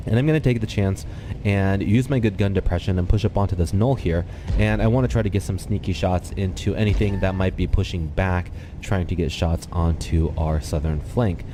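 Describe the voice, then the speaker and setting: Deep-voiced, congested man narrating a game replay. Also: slight background noise. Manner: calm, explanatory, thinks aloud with ums. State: congested, slightly nasal. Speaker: gaming channel host. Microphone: desktop USB microphone.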